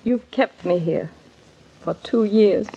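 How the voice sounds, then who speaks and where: A woman's voice: mid-range voice, slow pace, mellow, a little hiss or hum. An actress playing a lovesick, defiant captive young woman in melodramatic 1940s radio drama style. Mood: weary, resentful. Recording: dull vintage radio recording.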